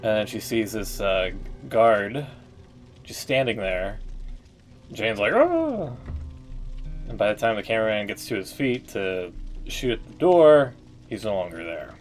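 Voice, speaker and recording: A man's voice: fairly low pitch, very animated, unhurried, pauses often, faint music behind. A man streaming a game, making chatty, joking asides about the story. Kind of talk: casual live-stream commentary.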